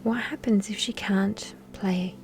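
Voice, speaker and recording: Soft, slow, breathy woman guiding sleep meditation. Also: medium pitch, steady tone. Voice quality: soft, breathy, half-whispered. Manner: soothing, gentle, lulling delivery with long pauses. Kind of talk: guided sleep meditation and bedtime story. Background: soft background music.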